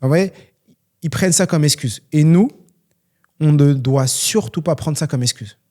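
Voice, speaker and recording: A man's voice: on the high side, unhurried, a little mellow. A young man from France, talking earnestly and motivationally on a solo question-and-answer podcast, with many fillers. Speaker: podcast host and trainer.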